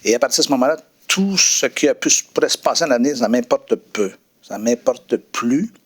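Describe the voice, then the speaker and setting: A French-speaking man sharing a personal faith testimony as a guest pastor, pausing often with fillers and restarts, then leading a prayer. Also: medium pitch, animated, husky. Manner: hesitant, many fillers and restarts.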